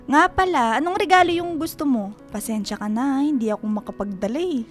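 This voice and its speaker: A woman's voice: high-pitched, lively intonation, brisk, faint music behind. An actress playing a doting, teasing middle-aged godmother in a Filipino radio drama, affectionate and exclaiming. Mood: gentle.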